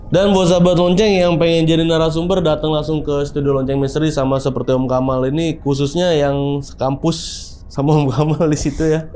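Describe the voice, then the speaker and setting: Young man, relaxed host, warm mellow voice, slangy casual chat. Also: medium pitch. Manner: relaxed, chatty, uses Jakarta slang. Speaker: podcast host. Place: studio.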